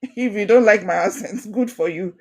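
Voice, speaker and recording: A young woman with a Nigerian Ijesha accent, coaching a women's group in an online course, animated and encouraging, often repeating phrases for emphasis. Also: mid-range voice, moderate pace. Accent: Nigerian, Ijesha Yoruba.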